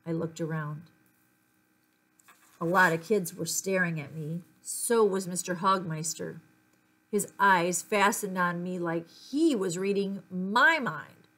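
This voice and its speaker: A woman's voice: lowish voice, takes it slowly, a bit bright. Woman reading a children's story aloud. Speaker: story reader. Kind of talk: expressive children's book read-aloud.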